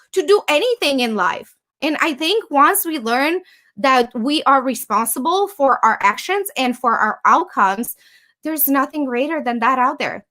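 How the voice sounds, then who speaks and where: A woman guest-hosting a podcast, her voice high and bright. She speaks in an emphatic, motivational way and drives her points home by repeating them. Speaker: guest host. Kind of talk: casual podcast interview.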